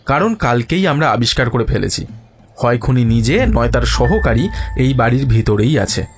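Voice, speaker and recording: An actor playing a self-assured, sceptical male detective in an audio drama, reasoning in a measured, dryly ironic way. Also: mid-range voice, sing-song, close to an everyday voice. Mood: calm, confident. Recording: music behind the voice.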